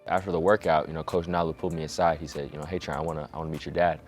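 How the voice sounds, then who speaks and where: A teenage boy from Hawaii, a high school quarterback, talking reflectively in a documentary interview with many fillers. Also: level tone, rapid-fire, faint music behind. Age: teens.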